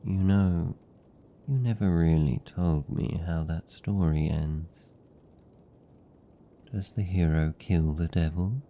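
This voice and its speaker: Casting: male actor as lonely British vampire count for ASMR roleplay, very low and gravelly, tender but possessive. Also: dull audio. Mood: gentle, teasing. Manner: soft, slow, soothing, lingering pauses.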